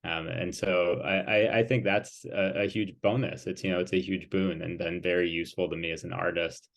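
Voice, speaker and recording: A man in an interview as an author and guest, talking thoughtfully with many pauses and fillers. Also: sits low, fairly even intonation, vocal fry. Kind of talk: interview conversation.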